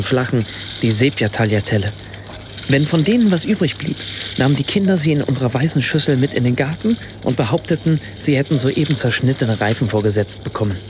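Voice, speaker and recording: A man's voice: medium pitch, background noise, close to an everyday voice. An actor playing a reflective German writer and father, narrating his own everyday story in a scripted radio play. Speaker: first-person narrator.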